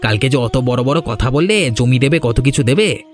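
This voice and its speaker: A man's voice: mid-range voice, expressive, normal speed, faint music behind, close to an everyday voice. A man voicing a scheming, cowardly landlord's servant in a cartoon drama, argumentative and insistent. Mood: pushy, threatening. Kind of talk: Bengali cartoon drama dialogue.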